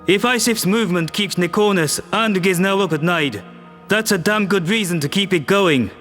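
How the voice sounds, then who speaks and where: A man's voice: sits high, moderate pace, fairly bright tone, faint music behind. A man voicing a human diplomat making an earnest, persuasive speech to an alien assembly.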